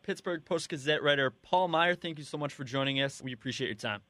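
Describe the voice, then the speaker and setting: Higher-voiced male radio sports and news anchor. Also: brisk, a bit bright, dry recording. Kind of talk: broadcast radio news, sports updates and sports talk. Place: radio studio.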